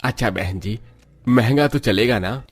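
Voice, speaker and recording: A man's voice: medium pitch, a little slow, close to an everyday voice. A man voicing a shopkeeper in a cartoon story, ingratiating with customers and scolding with staff. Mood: obliging, curious. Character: obliging shopkeeper who yells at his lazy helper.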